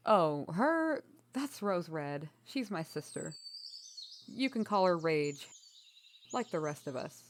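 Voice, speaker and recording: A woman's voice: deep voice, very animated, takes it slowly, slight background noise, exaggerated voice. Woman acting earnest young rebel princess of a fantasy tale. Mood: calm, friendly. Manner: polite and apologetic to strangers, exasperated with sister. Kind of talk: fantasy audio roleplay acting.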